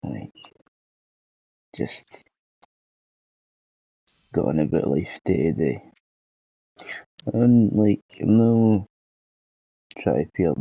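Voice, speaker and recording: A Scottish man with a cold and a hoarse throat, rambling and swearing in a self-deprecating way through a casual gaming vlog. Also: sits low, dry recording, dull audio. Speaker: gaming channel host.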